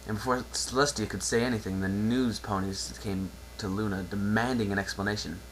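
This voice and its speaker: A man's voice: fairly low pitch, fairly even intonation, brisk, low-quality recording. A man narrating a story aloud as a dramatic amateur reading. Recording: faint background noise and hum.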